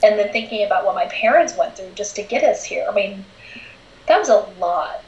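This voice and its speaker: A woman's voice: medium pitch, animated, fairly quick, slight background noise. A candid woman in her forties chats as an interview guest and laughs easily. Her voice carries a roomy echo and sounds a bit dull, like remote call audio. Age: 40s. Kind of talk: casual interview chat.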